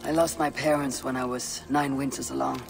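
An actor playing a curious, reflective Viking warrior who speaks hesitantly in a video game cutscene. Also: male voice, medium pitch, level tone, brisk, creaky, soft background music, close to an everyday voice. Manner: hesitant, probing.

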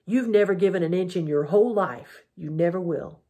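A woman voicing a boy in a storybook who shouts at his mother through tears, half crying and half shouting, in a husky voice. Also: fairly low pitch, brisk. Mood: raging, tearful.

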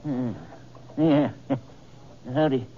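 An American actor playing a crotchety, curt old checkers player who grumbles tersely in a 1950s radio western. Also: male voice, medium pitch, fairly even intonation, extremely slow. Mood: grumpy. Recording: tinny, noisy old radio recording.

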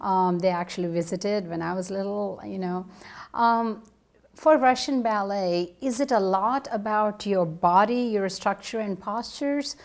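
Middle-aged female TV host; animated, eager, lapel mic in a home set. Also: medium pitch, unhurried. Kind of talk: TV interview. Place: furnished living room.

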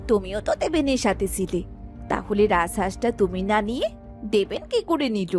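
A woman's voice: medium pitch, big pitch swings, brisk, a little mellow, soft background music, lightly acted. A woman voicing a greedy, nagging village housewife in a cartoon, scolding and questioning in an exasperated way. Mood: nosy, questioning. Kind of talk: cartoon voice acting.

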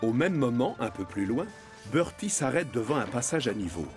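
A man's voice: medium pitch, big pitch swings, moderate pace, darkish tone. A man narrating a scripted children's story. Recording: music behind the narration.

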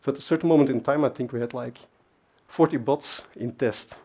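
Husky man giving a talk. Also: medium pitch, brisk, warm tone. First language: Dutch. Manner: conversational, many fillers and asides. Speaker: conference speaker. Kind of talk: technical conference talk. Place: large dark conference stage.

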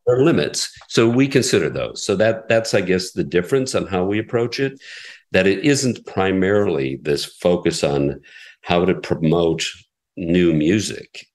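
A man in an interview, a radio executive explaining his station's approach in a measured way with frequent fillers. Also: low voice, lively intonation, darkish tone. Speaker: classical radio station president. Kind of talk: conversational interview answers.

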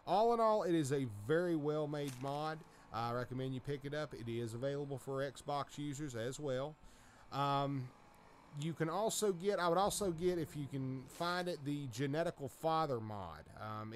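A man with a fairly high voice narrates a game showcase in an informal, folksy way. It is a casual voice-over with faint noise from the game behind him. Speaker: gaming video host. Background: video game sounds and dialogue.

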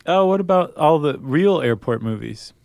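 A man's voice: on the high side, expressive, normal speed, creaky, darkish tone, no room sound, studio quality. An American man co-hosting a podcast in casual, conversational style. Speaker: podcast co-host.

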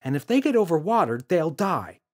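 A man's voice: a little high, sing-song, moderate pace, voice pushed up. A man voicing a prim, dismissive mother in a children's storybook, chiding and unimpressed.

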